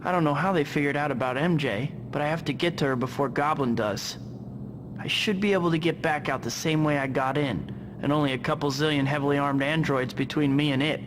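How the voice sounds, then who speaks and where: A man's voice: a little high, fairly quick, noisy background, natural voice. A man playing a young superhero in a video game, with an earnest, boyish and quippy way of talking. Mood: determined quipping. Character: young male superhero, earnest, wisecracking and determined. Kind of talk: video game hero acting.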